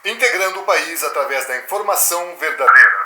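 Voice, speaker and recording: A man's voice: fairly high pitch, animated, fairly quick, natural voice. A man playing an official television network announcer, formal and declamatory, in a horror film's mock broadcast. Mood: authoritative. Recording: very noisy, echoing old broadcast.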